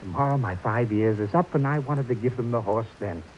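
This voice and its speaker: A man's voice: fairly quick, a little hiss or hum, lightly acted. A man playing a meek, guilty old horse trainer. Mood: pleading. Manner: earnest, pleading, jumpy pitch. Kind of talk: 1940s radio acting. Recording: dull lo-fi old radio broadcast.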